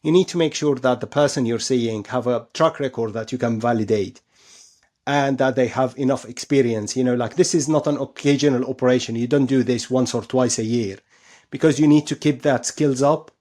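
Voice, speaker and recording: A man's voice: mid-range voice, brisk, darkish tone. A man speaking as an expert surgeon guest, explaining things in an interview, often repeating and rephrasing himself for emphasis.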